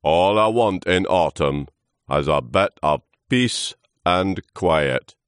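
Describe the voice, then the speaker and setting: An ancient, grumpy tree trunk from a storybook played by a man. It speaks very slowly and pompously, with weary groans and lofty scorn, swinging widely in pitch. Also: animated, smooth, no room sound, studio quality. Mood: weary complaint.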